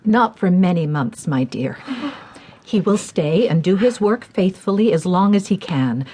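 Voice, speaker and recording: A middle-aged actress playing a kind, steady mother in a radio drama, gentle, composed and guiding. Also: fairly low pitch, unhurried, husky. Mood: soothing.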